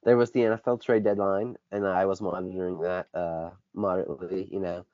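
A man's voice: low-pitched, little pitch movement, a little fast, dry recording. A teenage boy co-hosting a casual sports podcast, a bit congested with a dry throat, rambling and correcting himself as he talks. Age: teens. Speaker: podcast co-host. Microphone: basic home podcast setup. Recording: dull lo-fi dry recording.

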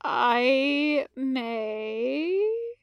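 A plaintive young man from a storybook , acted out by a woman reader. He is sheepish and defensive, pitched high, in a very dry recording. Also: big pitch swings, very slow, smooth, dry recording, exaggerated voice. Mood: sheepish, hesitant. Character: whiny, sheepish, lovesick-meddling young man. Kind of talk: storybook character voice.

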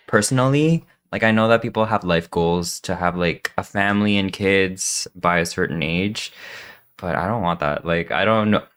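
Podcast co-host and streamer, man from the Philippines; lowish pitch, easygoing and reflective. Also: clear, resonant tone. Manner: easygoing, thoughtful, laughs softly. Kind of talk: casual chat.

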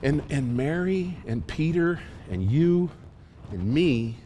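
A man preaching a sermon in a casual, emphatic way, with asides and quick questions to the congregation. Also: on the high side, in a large hall. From Oklahoma. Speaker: pastor.

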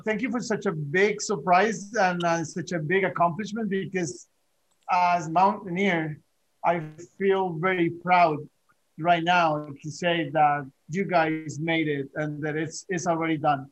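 Higher-pitched man, dull lo-fi sound. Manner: halting, repeats phrases. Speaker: podcast panelist, mountaineer. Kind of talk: casual interview chat. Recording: dull lo-fi connection.

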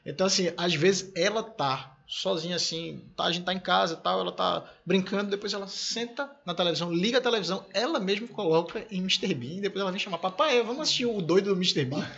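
A man's voice: high-pitched, fast, vocal fry, few pauses, fairly bright tone, highs cut off. A man in his thirties from Brazil hosting a casual conversation, telling enthusiastic anecdotes about his family.

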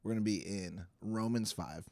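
A man with a hoarse, stuffy voice, giving a casual livestreamed Bible teaching in a chatty, self-deprecating way. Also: fairly low pitch, normal speed, warm tone, no echo. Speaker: pastor.

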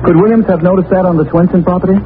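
A man's voice: high voice, fairly even intonation, very fast, lightly acted. An actor playing an earnest, insistent young man seeking justice for his family, in formal 1940s radio crime drama style. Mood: probing, polite. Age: young adult. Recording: noisy narrow-band 1940s radio recording.

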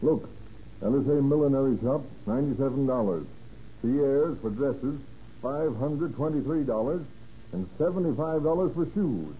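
An actor playing an indignant, scolding merchant husband in a 1930s radio drama. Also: male voice, medium pitch, lively intonation, moderate pace, dark tone, lightly acted. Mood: exasperated. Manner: indignant, repeats questions incredulously. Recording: dull, hissy old radio recording.

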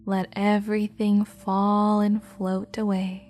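A woman guiding a meditation in a soft, slow, half-whispered voice. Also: medium pitch, monotone. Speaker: meditation guide. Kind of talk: guided meditation.

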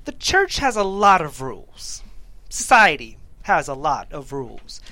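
A man acting as a genial priest with a bright, forceful voice. His pitch swings in a lively way as he delivers ceremonial lines in a scripted drama. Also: a little slow, no room sound, full character voice. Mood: warmly ceremonial. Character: genial male priest giving a wedding homily. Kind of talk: scripted table read acting.

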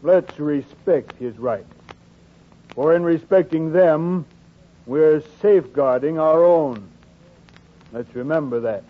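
A man's voice: on the high side, slow, long pauses, a little hiss or hum. A male actor playing an earnest, pleading clergyman in 1940s radio drama style. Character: earnest, pleading clergyman; later a gruff policeman. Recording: tinny, band-limited 1944 radio recording.

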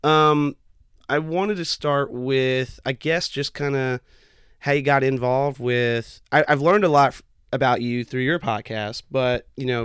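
A man hosting a casual podcast interview, chatty and friendly with a slightly raspy, tired voice. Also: mid-range voice, no echo, studio quality. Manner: friendly, chatty, laughs often. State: slightly raspy, losing his voice. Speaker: podcast host.

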